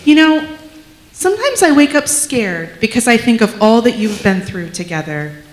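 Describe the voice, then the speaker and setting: A woman's voice: fairly high pitch, very animated, moderate pace. A woman acting a cheerful, teasing adult daughter in a live comedic dramatic reading, chatty and keen to make peace. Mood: earnest. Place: church sanctuary, live performance. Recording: echoey live room.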